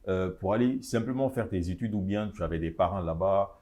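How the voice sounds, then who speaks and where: Male talk show host, very low and mellow voice, easygoing studio interviewer. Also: brisk. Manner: easygoing, with frequent backchannel murmurs. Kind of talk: relaxed interview hosting. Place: studio set.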